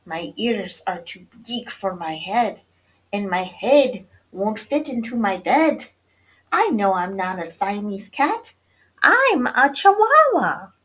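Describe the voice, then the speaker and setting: Woman playing an excitable little boy kitten, high-pitched. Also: slow, few pauses, breathy edge, exaggerated voice. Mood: dramatic. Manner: exclaims, chants and sings rhymes.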